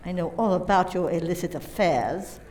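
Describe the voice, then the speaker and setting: A woman's voice: lowish voice, normal speed, a little mellow, slight background noise, lightly acted, low-quality recording. A woman acting in a radio play as a cool, proud businesswoman who is pointed and gossipy. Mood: proud, accusing.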